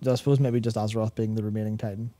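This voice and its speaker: A man's voice: lowish voice, little pitch movement, very fast, mellow. A young man co-hosting a gaming talk show, thinking aloud in casual discussion and often repeating words as he goes. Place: small indoor studio with acoustic foam panels. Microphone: broadcast mic on a boom arm, close.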